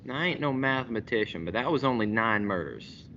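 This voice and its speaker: A man's voice: medium pitch, expressive, brisk, bright, forceful tone. A teenage man playing a cocky, sneering mob boss in a radio play, with a slangy wise-guy delivery. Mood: cocky, mocking. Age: teens. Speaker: school radio drama actor. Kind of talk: gangster radio-play acting. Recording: dull audio with faint noise.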